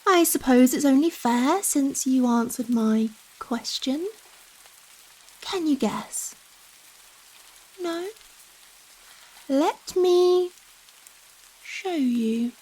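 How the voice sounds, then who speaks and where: A giant vampire woman, played by a female ASMR actor, speaks in a high, lingering voice with rain falling around her. She is coy and coddling, gentle yet possessive, toying with someone tiny in a slow, intimate roleplay. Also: low-quality recording. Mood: teasing, controlling. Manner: slow, coy, coddling talk with pet names. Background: steady rain.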